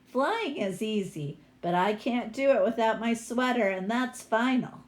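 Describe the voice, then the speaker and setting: A woman's voice: a little high, expressive, unhurried. A woman voicing a clever young skier who reasons persuasively with trolls in a storybook. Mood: firm.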